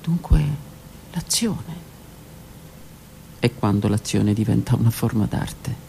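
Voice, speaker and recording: A middle-aged actress delivers a stage monologue, dropping into hushed whispers and rising into loud, declaimed passages. Her voice carries a gravelly edge, with a faint hiss in the background. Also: extremely low pitch, expressive, slow. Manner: dramatic, swings from hushed whispers to raised declaiming. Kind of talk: theatrical monologue. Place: radio studio.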